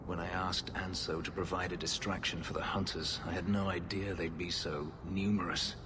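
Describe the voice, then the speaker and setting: An actor playing a proud, brooding elf who escaped slavery, speaking in a formal, measured, stern way in a fantasy video game. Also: male voice, low voice, little pitch movement, noisy background, close to an everyday voice. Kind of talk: video game cutscene acting. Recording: music under the voice.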